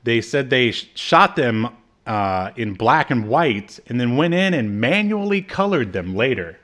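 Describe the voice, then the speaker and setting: A man's voice: mid-range voice, sing-song, a little slow, fairly bright tone. An American man co-hosting a podcast, chatting about a TV show in a laid-back, agreeable, conversational way. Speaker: podcast co-host. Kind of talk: casual chat reviewing a TV show.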